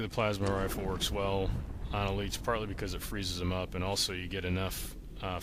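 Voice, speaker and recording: Man, low pitch, casual walkthrough narration, matter-of-fact and laid-back, clear game noise in background. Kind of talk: casual instructional gameplay commentary. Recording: noisy recording with game sound behind. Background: video game sound.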